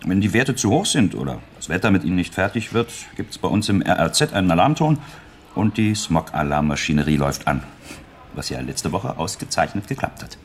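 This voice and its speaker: Low, lively, roguish technician. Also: male voice, brisk, a little hiss or hum. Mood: wry, explaining. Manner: wry, teasing, chatty. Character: roguish, clever male computer-centre technician, playful tinkerer. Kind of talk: radio play dialogue.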